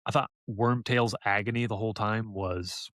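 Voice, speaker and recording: A man's voice: lowish voice, steady tone, normal speed, a bit bright, dry recording, clean studio sound. A man co-hosting a podcast, chatting casually and enthusiastically with emphatic praise. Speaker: podcast host. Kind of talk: casual review chat.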